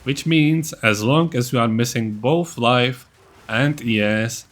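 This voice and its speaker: A man's voice: mid-range voice, takes it slowly, a bit bright. A man giving scripted, explanatory narration for a video game build guide in a methodical way.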